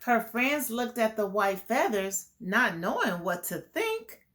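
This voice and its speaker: A woman's voice: a little high, very animated, slow pace, a bit bright. A woman hosting a children's storytime, reading a picture book aloud and explaining it to young listeners. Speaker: children's storytime host and reader.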